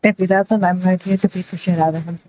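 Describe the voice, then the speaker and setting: A woman's voice: lowish voice, steady tone, a little fast, a little mellow, lo-fi sound. A woman streamer giving casual, sweary gameplay commentary in a playful, blunt way. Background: faint game music.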